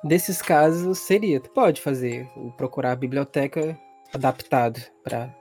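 A man's voice: mid-range voice, unhurried, a little mellow. Brazilian guy as game master, telling the horror story like he's just chatting, music playing behind. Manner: casual, conversational. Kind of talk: improvised narration of a tabletop horror game.

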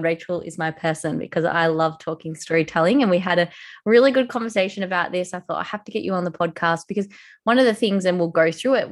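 A woman hosting a podcast interview in an upbeat, enthusiastic way. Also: on the low side. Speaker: podcast host.

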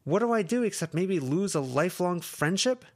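A man's voice: medium pitch, big pitch swings, moderate pace, voice pushed up, natural voice. A man voicing an anxious, protective teenage girl who lectures her friends intensely in an audiobook. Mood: upset, raised. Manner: intense, lecturing, rising to shouts. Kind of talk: audiobook character reading.

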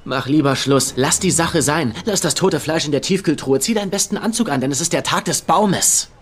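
An actor playing a flippant, teasing young amateur detective in a dubbed German comedy crime series. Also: male voice, a little high, a little fast, slightly rough. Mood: blunt, lecturing. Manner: playful, teasing, exaggerated. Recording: music behind the voice.

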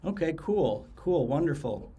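Chatty higher-voiced man hosting a podcast. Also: sing-song, unhurried, dark tone, husky, muffled recording. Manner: chatty, repeats words and restarts often. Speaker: podcast host. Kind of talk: casual podcast interview.

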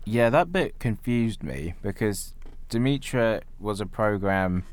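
Low-pitched man chatting about films. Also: animated, a little slow. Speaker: podcast co-host. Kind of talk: casual film chat.

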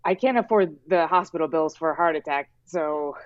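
Halting, joking female guest, dry lo-fi mic. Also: sits low, no echo. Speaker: podcast guest. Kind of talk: casual improv chat.

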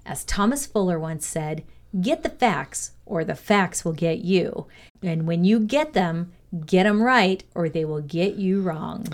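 Low-pitched woman reading an educational script. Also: animated. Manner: measured, deliberate read-aloud delivery. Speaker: reader of a values lesson.

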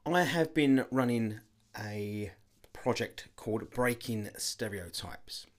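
A man hosting a podcast and introducing a guest in a measured way, with small restarts. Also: mid-range voice. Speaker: podcast host. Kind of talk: scripted episode introduction.

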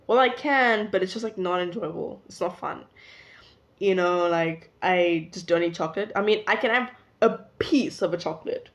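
A young woman in her late teens with a South African accent, chatting casually to camera in a rambling, self-interrupting way. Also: mid-range voice. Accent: South African English. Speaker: vlogger. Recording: dull lo-fi recording.

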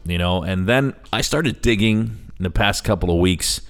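Middle-aged American male podcast host, slightly deep voice, conversational and bilingual, easygoing solo introduction. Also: normal speed. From Chicago, United States. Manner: conversational, switches between English and Spanish.

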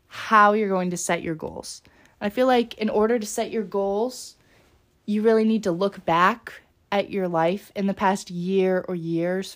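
A young woman talks through her solo podcast in a loose, rambling way, full of little fillers. Her voice sits a bit low and carries a light rasp left over from being sick. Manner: chatty, rambling, with many fillers. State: slightly raspy from being sick. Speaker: podcast host. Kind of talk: casual solo podcast talk.

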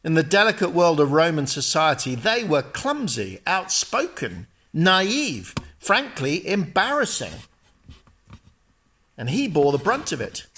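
Pastor telling a story from the pulpit. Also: male voice, a little high, wildly swooping pitch, sharp tone, breathy edge. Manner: measured, storytelling. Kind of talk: sermon with narrated story. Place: church.

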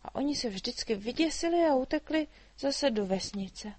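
A woman voicing a small, chatty human girl who talks in eager, high-pitched chatter, in a storybook reading. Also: lively intonation, darkish tone, dry recording. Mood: lively.

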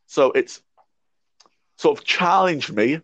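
A man's voice: fairly high pitch, expressive, takes it slowly, fairly bright tone, no room sound. A young man in his twenties, a podcast guest, talking reflectively with a stammer and frequent restarts. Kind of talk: casual conversation. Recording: dry muffled lo-fi audio.

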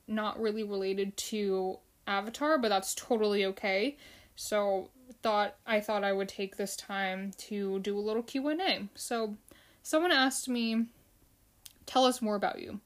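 A woman's voice: medium pitch, measured pace. A young woman from the Philippines hosting a casual solo podcast, chatting loosely with fillers and restarts. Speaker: podcast host.

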